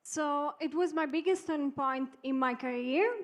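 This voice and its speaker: A woman's voice: high-pitched, normal speed. A woman in her twenties from Europe telling her career story as a guest speaker. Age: 20s. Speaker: summit guest, network marketer. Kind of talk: testimonial storytelling.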